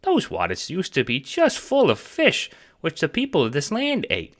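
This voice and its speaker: A man voicing a sweet, eager, childlike ghost cat sprite in an audio drama, with a creaky voice and an earnest, chirpy, polite manner. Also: fairly high pitch, huge pitch swings, normal speed.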